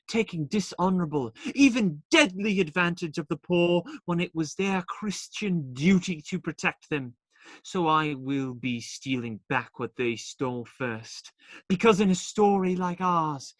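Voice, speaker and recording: An actor playing a bold, roguish, idealistic outlaw hero in a radio play. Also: male voice, on the high side, no room sound.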